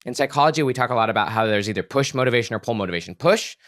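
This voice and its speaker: A man's voice: mid-range voice, expressive, rapid-fire, fairly bright tone, dry recording, studio quality. A man speaking as a podcast guest, explaining ideas in an earnest, emphatic way and repeating words for emphasis. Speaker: podcast guest, author and psychologist. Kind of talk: conversational explaining in an interview.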